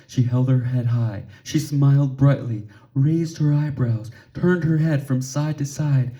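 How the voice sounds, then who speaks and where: A man reading a children's story aloud expressively, with measured pauses and emphasis for drama. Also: mid-range voice, warm tone. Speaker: storybook reader.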